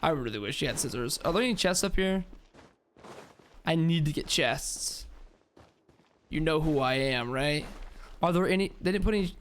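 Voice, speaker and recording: Casting: young man, joking streamer, slightly high voice, faint background hiss. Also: expressive, normal speed, long pauses, a bit bright. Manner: joking, swears, laughs often. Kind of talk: casual gameplay commentary.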